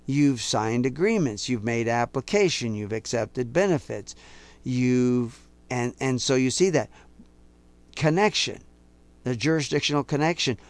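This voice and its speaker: A man preaching a sermon to his listeners, accusing and emphatic, slowing down to quote scripture in a measured cadence. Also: mid-range voice. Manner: intense, rising to emphatic outbursts; accusing, speaks directly to listeners.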